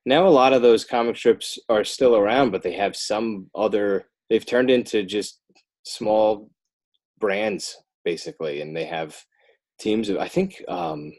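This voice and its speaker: A man's voice: fairly low pitch, expressive, measured pace, a little mellow. A middle-aged American man chatting casually as an interview guest, with a rambling, self-deprecating way of talking. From northern New Jersey, United States. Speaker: podcast guest, children's book author and illustrator.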